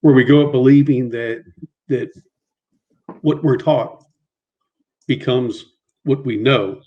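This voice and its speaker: Man who stutters, halting speech. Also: mid-range voice, steady tone, narrow, tinny audio. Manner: halting, frequent repetitions and restarts, mild stutter. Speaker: guest. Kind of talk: casual interview on a video call.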